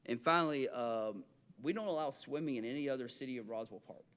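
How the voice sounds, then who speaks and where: A man's voice: mid-range voice, fairly bright tone. Man with a Southern twang. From Alabama. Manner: halting, many restarts and fillers. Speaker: city council member. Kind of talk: council meeting remarks. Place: council chamber.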